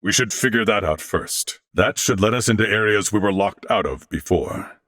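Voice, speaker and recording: A man voicing a courteous older alien warrior-scholar in a video game, speaking formally and eloquently with measured diction. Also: lowish voice, fairly bright tone, dry recording, clean studio sound, natural voice. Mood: composed, commanding. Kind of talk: video game character voice lines.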